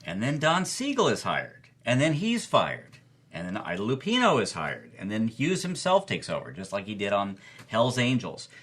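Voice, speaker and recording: A man's voice: on the low side, huge pitch swings, normal speed, fairly bright tone, husky. A man hosting as a storyteller, narrating with wry, emphatic delivery and punchy repetition. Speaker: podcast host, film club organizer.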